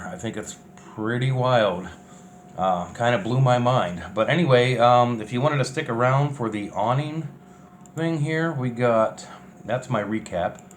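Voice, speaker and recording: Male vlogger, unscripted and offhand, everyday plain talk, faint background noise. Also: medium pitch. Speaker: vlogger documenting a bus build. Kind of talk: casual unscripted vlog chat.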